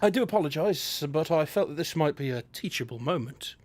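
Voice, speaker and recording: A man's voice: a little high, expressive, fairly quick. A man playing a wry, teasing divine guide in an audio drama, polite and lofty in manner. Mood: gently teasing. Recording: dramatic music underneath.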